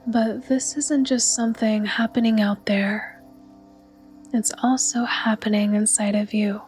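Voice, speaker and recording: A woman guiding a yoga nidra meditation in a soft, breathy, soothing and unhurried voice. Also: medium pitch, steady tone, faint music behind. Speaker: meditation guide.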